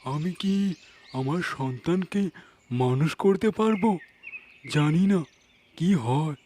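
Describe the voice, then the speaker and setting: A man as a slow, despairing animal parent. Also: fairly high pitch, warm tone, a little hiss or hum. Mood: fearful worry. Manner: despairing, halting. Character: fearful, grieving animal parent of the jungle. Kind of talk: cartoon voice acting.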